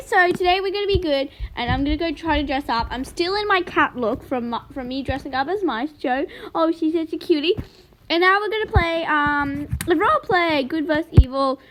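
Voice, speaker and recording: Very high-pitched, excitable young female gamer, noisy recording. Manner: excitable, giggly, dramatic mock-serious; talks while eating and sucking ice. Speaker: gaming video host. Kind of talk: casual playful gameplay commentary with roleplay.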